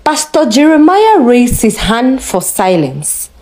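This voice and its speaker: A woman reads a dramatic tale as a storyteller. Her voice sits on the high side and moves up and down in a lively way. She takes her time, with slow, measured delivery. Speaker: storyteller narrator. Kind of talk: scripted story narration, read slowly for drama.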